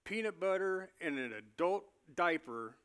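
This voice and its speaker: A man playing a chatty, jittery doctor in an amateur comic staged reading, speaking in a casual, halting, confiding way. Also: high voice, lively intonation, fairly bright tone, smooth. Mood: confiding, nervous. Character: chatty, jittery male doctor moonlighting as security supervisor.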